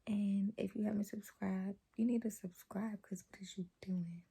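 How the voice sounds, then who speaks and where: A young woman vlogger with a naturally low voice, chatting casually to a camera held close to her face, talking softly and rambling with lots of fillers. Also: fairly even intonation, moderate pace, gravelly, breathy edge. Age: young adult.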